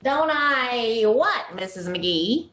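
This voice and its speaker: A woman's voice: a little high, animated, drawn-out words, fairly bright tone, full character voice. A woman playing a sweet, scatterbrained, innocent young woman with a hesitant, guileless manner in 1940s radio comedy style. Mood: innocent, puzzled. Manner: hesitant, guileless, drawling out non sequiturs. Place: live video call performance.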